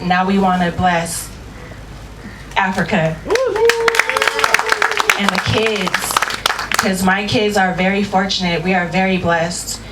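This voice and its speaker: A woman speaking sincerely and steadily as an awards organizer at a panel. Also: on the low side. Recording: distant echoey room with clear noise.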